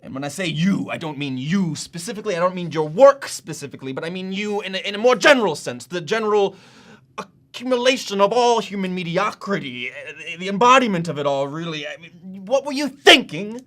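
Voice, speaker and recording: An actor playing an awkward, apologetic yet condescending museum official in a comic monologue, with a hesitant, rambling manner. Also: male voice, medium pitch, animated, normal speed, fairly bright tone. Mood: exasperated, scornful. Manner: hesitant, stammering, rambling, self-correcting.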